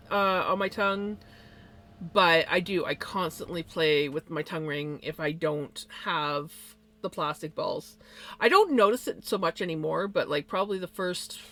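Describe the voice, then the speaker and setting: Bright-voiced woman streamer with a slight lisp. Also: on the low side. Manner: rambling, with many fillers and small laughs. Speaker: live streamer. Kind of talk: casual chat with stream viewers.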